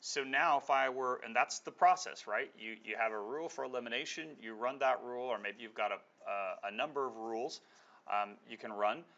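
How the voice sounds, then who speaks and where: A man's voice: mid-range voice, bright, forceful tone, highs cut off. A man giving an informal screen-share product walkthrough as a demonstrator, explaining step by step in a conversational way. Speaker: software demonstrator.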